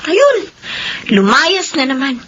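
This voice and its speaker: An actress playing a caring, exasperated young older sister in a Filipino radio drama, earnest and emotional. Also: female voice, sits high, sing-song, slow, a bit bright, lo-fi sound, slight background noise, heavily acted. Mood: troubled.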